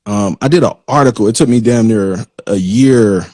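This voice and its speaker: A man hosting a casual interview, talking informally with slang in a halting way and often checking that he is followed. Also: on the low side, warm tone, dry recording, studio quality. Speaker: interviewer, magazine host.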